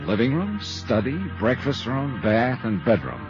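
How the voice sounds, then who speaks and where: A man's voice: mid-range voice, sing-song, unhurried. A man playing a wry, clipped American private detective in a 1950s radio drama, speaking colloquially. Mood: wry narration. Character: wry, brisk American male insurance detective. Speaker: private investigator and narrator. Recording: dull 1950s radio recording, music behind.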